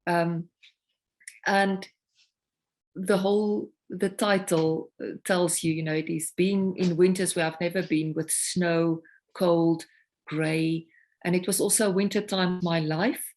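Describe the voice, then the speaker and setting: A woman from South Africa whose first language is Afrikaans, speaking English with a South African accent. She is a singer-songwriter guest talking about her work in a reflective, unhurried way, often pausing to search for words. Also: on the low side, steady tone, darkish tone. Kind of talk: casual interview conversation.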